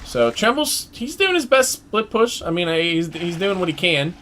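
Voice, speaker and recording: A man giving live play-by-play commentary on an online team game, excitable and chatty, his voice jumping up during fights. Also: a little high, a little hiss or hum. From United States. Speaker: esports play-by-play caster.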